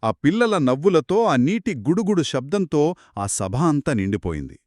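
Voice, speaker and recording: A man telling a children's story in a conversational, expressive and explanatory way, as one of two narrators. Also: a little high, normal speed, dry recording, clean studio sound. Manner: expressive, explanatory, acts out characters' quoted lines.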